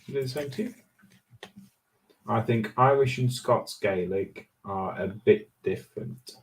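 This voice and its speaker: A man's voice: fairly low pitch, measured pace, rough recording. A middle-aged Englishman co-hosting a live craft stream, teasing and joking in casual banter. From England.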